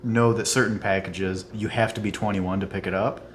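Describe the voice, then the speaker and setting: A young man co-hosting a snack review show, chatting casually, joking and explaining, often trailing off into quiet asides. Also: lowish voice, fairly even intonation, normal speed, a little hiss or hum. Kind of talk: casual chat.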